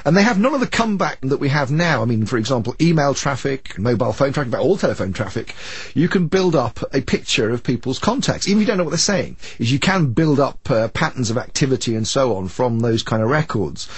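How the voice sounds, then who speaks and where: A man's voice: medium pitch, animated, quick pace, slightly rough, no echo. A man being interviewed, explaining things in informal, spontaneous talk.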